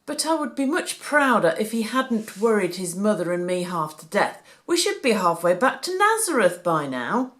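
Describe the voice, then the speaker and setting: A woman's voice: mid-range voice, big pitch swings, moderate pace, few pauses, fairly bright tone. A woman reading a storybook, voicing an earnest, worried father who is searching for his son. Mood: exasperated.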